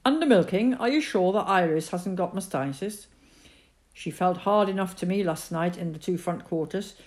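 A woman voicing a gruff, blustering middle-aged male farmer in a storybook reading. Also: fairly low pitch, moderate pace, darkish tone, natural voice.

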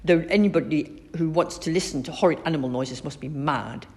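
A woman's voice: deep voice, fairly quick, a little mellow, long reverb tail. A woman voicing a mean, grumpy male librarian in a children's story, snapping and sniffing rudely.

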